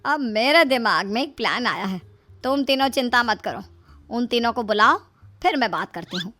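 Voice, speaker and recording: A woman plays a middle-aged mother-in-law in a comic moral story, fretful and scheming. The voice is fairly high and emphatic, with faint music behind. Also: animated, moderate pace, sharp tone. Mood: firm coaxing.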